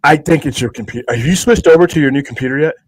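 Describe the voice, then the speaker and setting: A man's voice: a little high, racing, no echo, rough recording. A man co-hosting a live stream, ribbing his partner in incredulous, joking banter. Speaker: co-host. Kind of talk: casual live stream chat.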